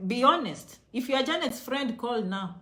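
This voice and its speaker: A woman with a Kenyan accent speaks straight to her viewers in a casual motivational vlog. Her voice sits fairly high and is very bright and forceful. She is emphatic, repeating short phrases to press her point. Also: lively intonation, normal speed. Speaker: online video host.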